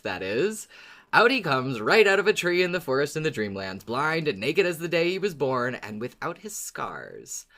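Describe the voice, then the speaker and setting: Animated, playful podcast co-host. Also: medium pitch. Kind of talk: casual podcast talk and episode recap.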